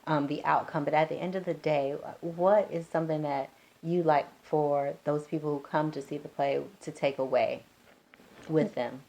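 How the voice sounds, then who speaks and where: Woman, low pitch, conversational interviewer, question-led delivery. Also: steady tone, normal speed, mellow.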